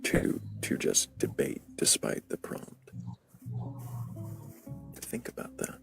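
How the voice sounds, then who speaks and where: Male host, extremely deep and full of creak, stern delivery for live debate talk, music behind. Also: wildly swooping pitch, slow pace.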